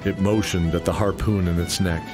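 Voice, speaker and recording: A man narrating a radio play in a deliberate, descriptive, dramatic storytelling style. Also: deep voice, fairly even intonation. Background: music bed.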